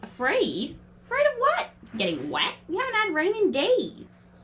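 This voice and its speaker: A woman playing a brisk, confident, quirky girl in a family audio drama, breezy and matter-of-fact. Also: very high-pitched, huge pitch swings, fairly bright tone, slight background noise, full character voice. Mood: proud, brisk.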